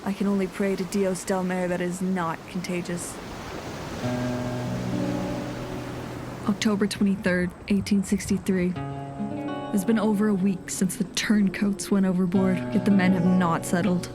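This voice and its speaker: A woman's voice: mid-range voice, flat delivery, normal speed, natural voice. Audio drama: actress as haunted woman ship's captain, 1860s log. Solemn, formal, level intonation, prominent music underneath. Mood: grave, uneasy. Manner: solemn, formal, old-fashioned diction. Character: grave, haunted woman sea captain keeping a 19th-century log.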